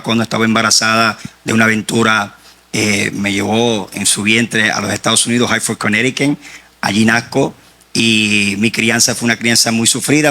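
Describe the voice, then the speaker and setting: Bright-voiced Puerto Rican man testifying. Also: lowish voice. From Puerto Rico. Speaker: guest author. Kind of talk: personal faith testimony.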